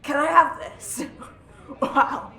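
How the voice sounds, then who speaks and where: Audio drama role: casual, put-upon guard, crackly fry, slightly high, grumbles amiably, echoey. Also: female voice, fairly even intonation, takes it slowly, bright, forceful tone, exaggerated voice. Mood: bashful, pleased. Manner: casual, teasing, grumbling good-naturedly. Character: easygoing, put-upon prison guard on a spaceship.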